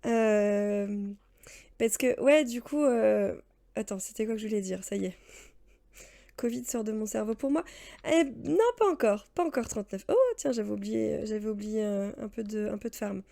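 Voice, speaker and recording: A woman's voice: mid-range voice, normal speed, vocal fry, mellow. A woman in her thirties, chatting casually while streaming a game, rambling with many fillers and answering her viewers. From France. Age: 30s. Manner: rambling, chatty, laughs often, answers chat. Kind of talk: casual let's-play chat.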